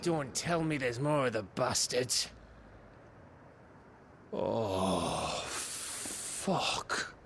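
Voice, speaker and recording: An actor playing a rough, wry, loyal scout in a video game cutscene, talking colloquially and sardonically. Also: male voice, mid-range voice, sing-song, very slow, long pauses, fairly bright tone, slight background noise, heavily acted. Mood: dismayed.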